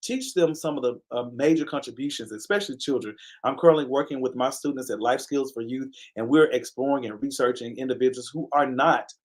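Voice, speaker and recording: A man hosts a casual live online talk show with a middle-pitched voice. He speaks earnestly, repeating key phrases with a preacher-like cadence, and regularly stops to thank viewers by name. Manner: pauses to give viewers shout-outs. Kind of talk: casual live-stream commentary.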